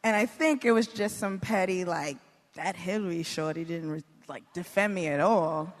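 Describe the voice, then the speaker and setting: A woman's voice: lowish voice, moderate pace. A woman comedian on a panel giving casual comic commentary in a playful, slangy way. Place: indoor stage before a live audience. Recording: echoey hall with background noise.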